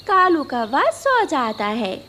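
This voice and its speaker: A woman's voice: high-pitched, very animated, takes it slowly, bright, forceful tone. A woman giving scripted narration for a children's moral story. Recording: clear background noise, nature ambience.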